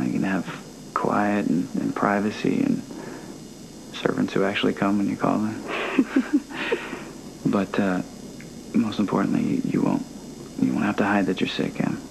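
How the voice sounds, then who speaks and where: A polite, caring young man with a low, gravelly voice, earnest and soft-spoken. The sound comes from a noisy old TV recording of a soap studio set. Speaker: actor in a soap opera. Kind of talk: daytime soap acting. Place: indoor studio set, hospital and living room.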